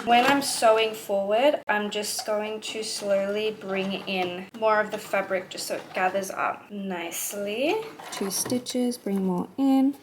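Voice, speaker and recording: A young woman chatting through a sewing project, excitable and full of "like" fillers, swearing now and then. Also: mid-range voice, a little hiss or hum. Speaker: sewing vlogger. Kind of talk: casual sewing vlog chat.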